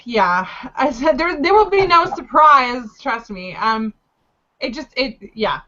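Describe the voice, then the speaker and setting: A woman's voice: sits high, big pitch swings, measured pace, background noise. A woman from Canada chatting casually as a panelist on an online show, playful and sarcastic, on slightly dull lo-fi audio. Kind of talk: casual group chat.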